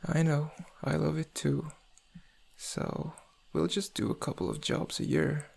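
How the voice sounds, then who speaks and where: Smug, seductive male criminal played by a man for ASMR roleplay; husky, slightly higher-set voice, intimate drawl with menace underneath. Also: big pitch swings, a little slow, a little mellow, full character voice. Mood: coaxing. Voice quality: gravelly, husky. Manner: intimate, teasing, drawling, often dropping to breathy murmurs. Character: suave, menacing male thief, flirtatious and smug.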